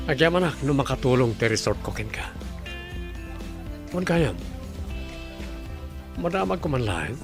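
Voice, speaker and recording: A man's voice: medium pitch, sing-song, unhurried, pauses often. An actor voicing a friendly, caring young man who owns a resort, in an audio comedy drama. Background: background music.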